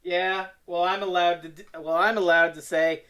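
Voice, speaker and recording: A woman's voice: lowish voice, fairly even intonation, normal speed, fairly bright tone. A woman co-hosting a casual review podcast, bantering in a sardonic, playfully theatrical way. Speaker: podcast co-host.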